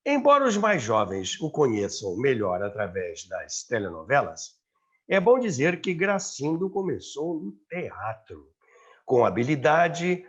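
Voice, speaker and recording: A man's voice: fairly high pitch, breathy edge. A Brazilian man hosting and narrating a scripted documentary, with emphatic, dramatic phrasing. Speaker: host and narrator of a radio history show. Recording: slightly dull audio with background music.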